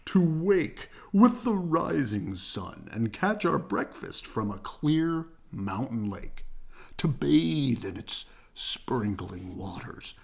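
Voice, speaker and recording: A reader voicing an excitable, overconfident father bear from a children's storybook, with grand, enthusiastic delivery. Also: mid-range voice, vocal fry, full character voice. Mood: dreamily excited. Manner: grand, enthusiastic, drawn-out dreamy descriptions.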